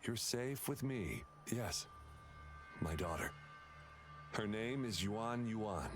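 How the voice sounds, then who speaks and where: Slow-spoken, unhinged doting father. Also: lowish voice, expressive. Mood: eerily tender. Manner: halting, fervent, overwrought. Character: desperate, unhinged doting father clinging to his daughter. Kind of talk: dramatic video game voice acting. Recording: music behind the voice.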